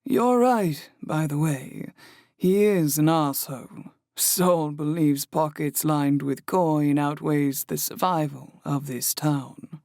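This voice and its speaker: A friendly, earnest young innkeeper woman, voiced by a male narrator in an audiobook. Her voice sits high and unhurried, lifted well above his own. It is recorded dry and close in a studio booth. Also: a little mellow, dry recording. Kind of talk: audiobook character voice.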